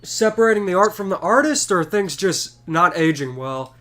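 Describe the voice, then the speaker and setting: A man chatting casually while gaming, giving opinions freely and firing off quick questions. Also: high voice, lively intonation, a bit bright.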